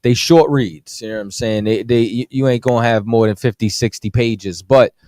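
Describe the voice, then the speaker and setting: A man's voice: mid-range voice, normal speed, a little mellow, no room sound, studio quality. A man talking casually on a podcast as a guest author, rambling with lots of "you know what I'm saying".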